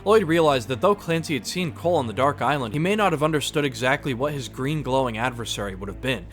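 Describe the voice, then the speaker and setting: A man's voice: mid-range voice, lively intonation, fast, fairly bright tone. Man narrating a story over music.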